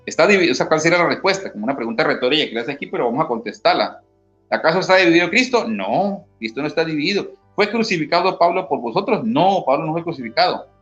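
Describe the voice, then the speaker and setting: A man's voice: mid-range voice, fairly bright tone. A man from Colombia, an interview guest explaining Bible passages in a teacherly way and often checking in with "¿sí?". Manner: teacherly, reads scripture aloud, asks "¿sí?" often. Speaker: interview guest, former church member. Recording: dull lo-fi audio with faint guitar music.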